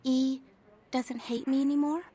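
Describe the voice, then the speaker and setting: A woman's voice: high-pitched, steady tone, measured pace, mellow, a little hiss or hum. A woman playing a frightened, guilt-stricken young vampire in a video game, with fraught, pleading delivery. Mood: tentative hope. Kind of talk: dramatic video game voice acting.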